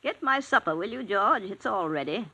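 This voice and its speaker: Brief: a trusting old invalid lady for a vintage radio drama, breathy and bright, with a lively, swooping voice. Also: mid-range voice, normal speed, dry recording, heavily acted. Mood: chatty. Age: older. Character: frail elderly woman, invalid, trusting and chatty. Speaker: invalid widow in a cottage. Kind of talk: 1940s radio acting.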